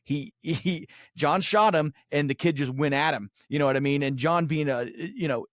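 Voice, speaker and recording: A man's voice: fairly high pitch, moderate pace, a bit bright, dry recording, clean studio sound. A man co-hosting a podcast with casual comedic banter, sarcastic, crude and given to riffing. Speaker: true crime podcast co-host.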